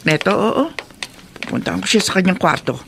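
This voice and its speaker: A woman's voice: lowish voice, expressive, brisk, vocal fry, background noise. A Filipino woman playing a cruel, abusive aunt who scolds and threatens in a radio drama. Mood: seething, irritated. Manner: scolding, name-calling, threatening. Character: cruel, abusive aunt berating her young housemaid niece.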